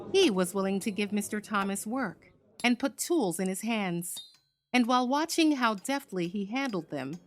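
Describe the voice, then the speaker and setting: A woman reading scripted documentary narration in an expressive, deliberate, dramatic way. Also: medium pitch. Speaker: narrator, performer.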